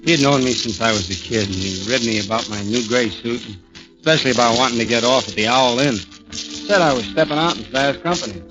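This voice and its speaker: A man's voice: mid-range voice, fairly quick, close to an everyday voice. An American actor playing a haunted, plain-spoken night garage man telling his story in a 1940s radio thriller. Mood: reflective. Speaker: actor narrating and playing the lead. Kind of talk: 1940s radio thriller acting, first-person narration. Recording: dull 1940s radio recording, loud music behind.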